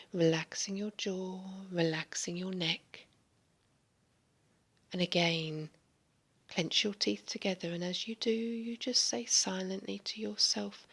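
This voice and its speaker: A woman guiding a hypnosis session in a slow, soothing, largely whispered voice, giving relaxation suggestions. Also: lowish voice, fairly bright tone. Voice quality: breathy. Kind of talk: guided hypnosis recording.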